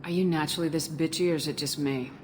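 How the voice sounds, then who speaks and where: A woman's voice: fairly even intonation, fast, darkish tone, close to an everyday voice. An actress playing a tough, wary female military commander in a video game, blunt, direct and challenging. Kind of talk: video game dialogue acting. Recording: reverberant, noisy game audio with rumble.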